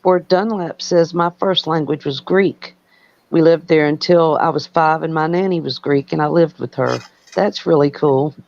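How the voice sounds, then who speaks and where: A co-host chimes in with short remarks and reads out viewer comments. The sound is dull and lo-fi, like a remote connection, at a middle pitch. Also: normal speed, creaky, a little mellow. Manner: short interjections, reads chat aloud. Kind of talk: casual chat.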